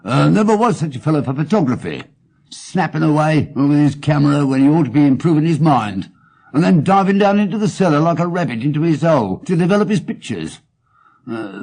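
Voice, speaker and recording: A man's voice: fairly high pitch, sing-song, a little fast, darkish tone. A man playing a homely, wordy English pawnbroker in an audiobook, with an earnest, stammering manner. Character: plain, stout, red-headed male pawnbroker, earnest and wordy.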